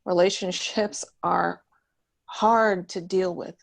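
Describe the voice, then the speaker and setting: Woman acting as slow, creaky-voiced therapist. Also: lowish voice, steady tone, heavily acted. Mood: earnest, reassuring. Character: patient, encouraging female trauma therapist. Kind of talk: screenplay table read.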